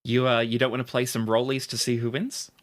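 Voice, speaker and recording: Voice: mid-range voice, little pitch movement, brisk. A game master chatting casually and narrating a tabletop game.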